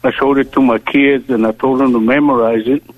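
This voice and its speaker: A man calling into a prayer radio show, speaking slowly and devoutly about scripture, with many pauses. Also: mid-range voice, fairly even intonation. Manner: slow, deliberate, devout, pauses often. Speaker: caller. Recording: tinny phone line.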